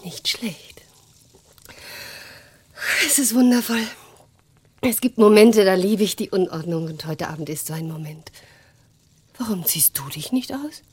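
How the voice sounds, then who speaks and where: An actress playing a free-spirited, flirtatious woman in a radio drama, speaking softly and intimately. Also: medium pitch, measured pace, pauses often, slightly rough. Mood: seductive.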